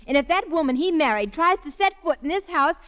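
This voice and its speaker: Sharp-tongued boarding house daughter, high voice, blunt, vintage radio play, dull recording. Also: female voice, lively intonation, fairly quick. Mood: angry, defiant. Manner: blunt, sardonic. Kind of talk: 1940s radio acting.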